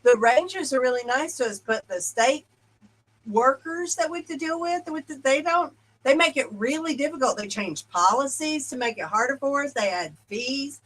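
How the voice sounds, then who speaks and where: A woman's voice: high voice, animated, moderate pace, poor audio. A woman guest on a podcast, telling stories in casual interview chat over a video call. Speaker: podcast guest, church leader. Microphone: remote video call.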